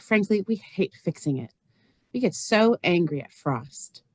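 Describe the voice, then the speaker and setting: A woman's voice: fairly low pitch, expressive, a little slow, a little mellow, slightly rough, no echo. A woman playing a plain-spoken, lonely, literal-minded farm neighbor in a stage reading, earnest and matter-of-fact. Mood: frustrated. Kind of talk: stage reader's theater acting.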